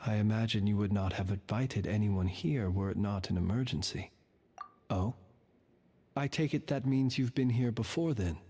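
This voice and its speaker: A man playing a composed, old-fashioned gentleman in a video game, formal and gallant, in a reverberant, slightly noisy recording. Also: lowish voice, normal speed, close to an everyday voice. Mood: courteous.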